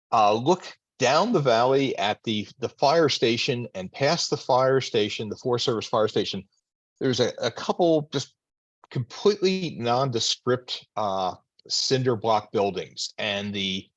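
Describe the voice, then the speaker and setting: Husky man on dull call audio. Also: medium pitch, no room sound. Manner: rambling, full of fillers and restarts. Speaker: society member presenting. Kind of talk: casual remarks on a video call.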